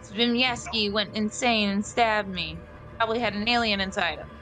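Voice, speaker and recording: A woman's voice: mid-range voice, steady tone, moderate pace, over music. A woman talking in character as a player in a tabletop roleplaying game.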